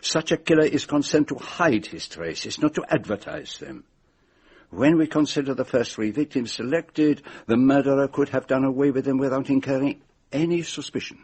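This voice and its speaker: A man's voice: medium pitch, normal speed, darkish tone, breathy edge. An actor playing a shrewd, courteous male detective in a radio drama, with a Belgian French accent and a formal, precise way of talking. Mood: expository, assured.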